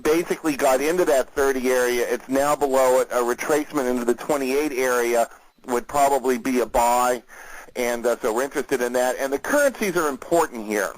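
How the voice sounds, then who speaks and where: Guy running a weekly market call, mid pitch, talks steady w/ lots of ums, dry close sound. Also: brisk, dry recording, low-quality recording. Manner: conversational, steady, with fillers. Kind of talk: casual market briefing.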